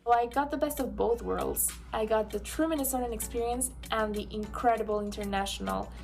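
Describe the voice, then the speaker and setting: A woman's voice: fairly high pitch, a little fast, vocal fry, darkish tone, soft background music. A young woman from Mexico, giving an enthusiastic testimonial as a former international student.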